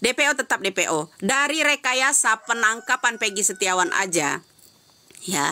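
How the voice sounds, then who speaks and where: A woman's voice: on the high side, lively intonation, normal speed, pushed and bright. A woman giving heated live-stream commentary, answering comments with insistent, repeated rhetorical questions.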